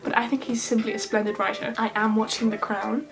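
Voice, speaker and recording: A young woman from Ireland with an Irish accent that drifts toward English, chatting casually and recommending books as a vlogger, with quick asides and self-deprecating jokes. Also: fairly high pitch, flat delivery. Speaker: book vlogger. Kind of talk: casual book recommendations to camera. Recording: music playing underneath.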